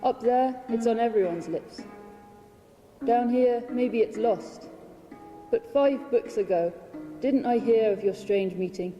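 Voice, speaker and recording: A woman giving a dramatic poetry reading, measured and deliberate, with weighted pauses. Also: on the high side, warm tone. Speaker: reader of own poetry translation. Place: large hall at a public reading. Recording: reverberant hall with plucked guitar music. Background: plucked string music.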